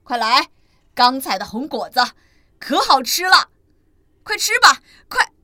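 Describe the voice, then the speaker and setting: A woman's voice: high voice, big pitch swings, measured pace, no room sound, clean studio sound, exaggerated voice. A woman voicing a tender father T. rex. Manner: soothing, fond, scolding softly. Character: gentle fatherly T. rex caring for babies.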